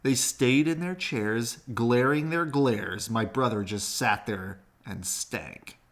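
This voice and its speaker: A man reading a children's picture book aloud in an expressive storytelling way. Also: medium pitch, slow.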